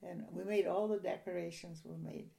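An older woman from a Ukrainian-speaking home, reminiscing in an oral history interview in a soft, halting, rambling way. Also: on the low side, fairly even intonation, creaky.

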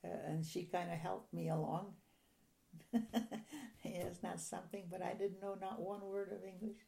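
Older woman with a gravelly, mellow voice. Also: on the low side, flat delivery. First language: Ukrainian. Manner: rambling reminiscing, soft and halting. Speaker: interviewee. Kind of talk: oral history interview.

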